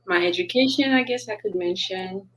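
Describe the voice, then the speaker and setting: A woman's voice: mid-range voice, moderate pace, fairly bright tone, poor audio. A woman from Haiti, a business founder, talking earnestly in a casual interview and laughing often.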